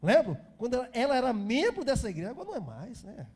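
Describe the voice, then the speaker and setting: A man's voice: very high-pitched, wildly swooping pitch, quick pace, darkish tone, husky. A Brazilian man in his fifties preaching an informal sermon as a pastor, repeating phrases for emphasis and chatting to the congregation. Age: 50s.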